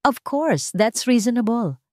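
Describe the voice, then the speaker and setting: A woman's voice: on the high side, very animated, measured pace, a little mellow, no room sound, voice pushed up. A wealthy, arrogant father acted by a female narrator pleads with desperate urgency. The lines belong to a story drama and sound dry and close, with no room around them. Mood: agreeable.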